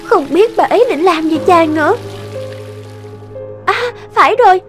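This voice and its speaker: A woman's voice: very high voice, animated, unhurried, soft background music. A woman voicing an anxious, devoted young fairy-tale heroine in a cartoon, earnest and plaintive.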